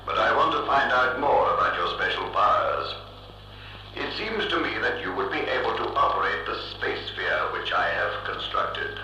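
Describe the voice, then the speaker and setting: A cold, pompous electronic brain, piercingly sharp and heavily echoed. It speaks formally and without feeling, like a ruler in an old science-fiction radio play, with faint music behind. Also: male voice, medium pitch, sing-song, vocal fry, heavily acted. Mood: clinical. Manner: emotionless, pompous, formal and deliberate. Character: cold, imperious giant thinking machine ruling Mars. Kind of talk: vintage science-fiction radio drama acting. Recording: echoing old reel-to-reel radio recording.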